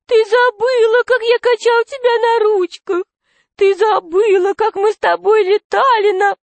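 A woman's voice: extremely high pitch, slow, no echo, voice pushed up. A woman voicing a fat, disguised man who poses as a doting father in a thin, whining, syrupy storybook voice. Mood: tearful pleading.

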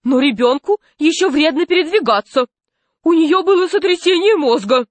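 A woman's voice: sits high, animated, normal speed, no room sound, pitched above the performer's own voice. A woman voicing an indignant, flustered female hospital worker in a storybook, exclaiming in scandalised protest. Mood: worried protest.

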